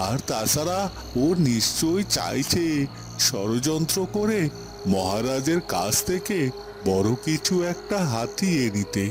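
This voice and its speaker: A man's voice: medium pitch, sing-song, measured pace. A man acting a worried, loyal captive in a cartoon, brooding aloud in an agitated, self-questioning monologue. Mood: anxious, brooding. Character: worried loyal male captive of a scheming villain. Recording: music behind the voice.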